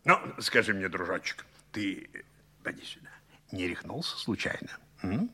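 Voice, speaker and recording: An actor playing a jovial, excitable banker and fond godfather in a 1960s Soviet radio play, theatrical and full of exclamations, with a husky voice. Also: low-pitched, normal speed, full character voice. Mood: incredulous. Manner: theatrical, exclaiming, chuckling, swooping between murmurs and cries.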